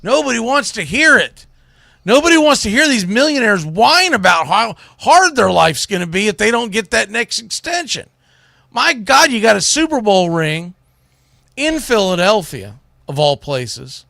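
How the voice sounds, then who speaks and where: A man with a high, very lively voice delivers sports commentary. His tone is bright and forceful, and he talks in a blunt, folksy, exasperated way, like a radio host on a rant. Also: moderate pace, creaky, no room sound, studio quality. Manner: exasperated ranting, blunt, mildly salty, folksy.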